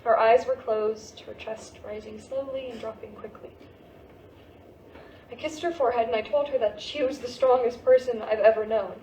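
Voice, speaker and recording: A woman's voice: fairly high pitch, fairly even intonation, moderate pace, warm tone, lightly acted. A woman playing a grieving, searching American teenage girl in an emotional monologue at a staged play reading. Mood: wistful, grieving. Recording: echoey stage recording with faint noise.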